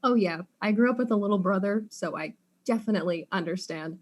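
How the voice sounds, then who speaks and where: A woman's voice: medium pitch, brisk, lightly acted. An inquisitive, courteous detective, played by a woman in a mystery drama. The voice is mid-range and everyday, with an earnest, slightly hesitant way of putting questions. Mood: curious.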